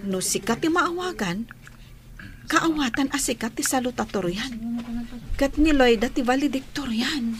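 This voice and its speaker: A woman playing a lively, friendly teenage schoolgirl in a radio drama, with an Ilocano Filipino accent and an animated, emphatic way of talking. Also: sits high, unhurried. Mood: insistent.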